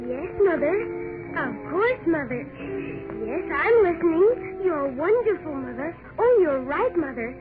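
Thin, tinny radio sound with music behind. a little son addressing his mother in an extremely high, piping voice. The replies are childlike and singsong, in old radio-play style. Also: very animated, unhurried. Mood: dutiful. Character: imagined young son answering his mother, dutiful then defiant. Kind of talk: 1930s radio drama acting.

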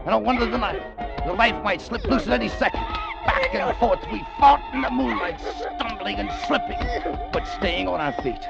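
An American actor playing a wary, hard-boiled gold-hunting adventurer in an old radio drama, with clipped, tough, colloquial delivery. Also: male voice, high-pitched, wildly swooping pitch, moderate pace, gravelly, exaggerated voice. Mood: strained, urgent. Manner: clipped, tough, colloquial first-person narration. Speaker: radio actor, lead and narrator. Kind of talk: 1940s-50s adventure radio drama acting. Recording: muffled vintage radio recording, music underneath.

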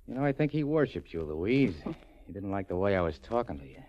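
A man's voice: fairly low pitch, very animated, normal speed, slight background noise. A man playing a sleazy, bullying but cowardly small-time tough guy, talking slangy and oily in 1940s radio drama style. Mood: swaggering, insinuating. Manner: slangy, oily, swaggering wise-guy talk. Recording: muffled 1940s radio recording.

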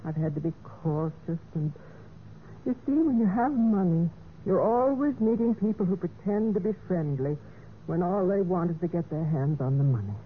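An actress playing a trusting, lonely young heiress in a vintage radio mystery, speaking with earnest, genteel gentleness. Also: female voice, fairly low pitch, animated, moderate pace, phone-quality sound, natural voice. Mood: earnest, tender. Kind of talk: 1940s-50s radio mystery acting. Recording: noisy band-limited old radio recording.

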